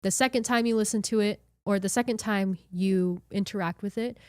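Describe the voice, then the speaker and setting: A woman from Hawaii with a light Hawaiian accent chats her way through podcasting advice in a bubbly, expressive manner. Her voice sits in a middle range and is recorded close and dry, with almost no room sound. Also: medium pitch, a little fast, no room sound. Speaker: podcast workshop presenter. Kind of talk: casual webinar chat.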